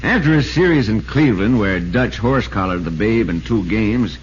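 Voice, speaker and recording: A man's voice: fairly low pitch, animated, moderate pace, close to an everyday voice. A man acting an earnest, insistent baseball reporter in 1940s American radio drama style. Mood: dramatic storytelling. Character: earnest, urgent male baseball reporter telling his story. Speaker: narrator and actor in a radio drama. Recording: muffled, noisy vintage radio recording.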